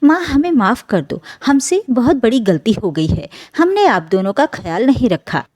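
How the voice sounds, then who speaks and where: A woman's voice: fairly high pitch, big pitch swings, normal speed, darkish tone, no echo, studio quality. A woman voicing a repentant adult son in a family story, humble and beseeching. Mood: begging. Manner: deferential, beseeching. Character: repentant grown son, humbly begging his parents.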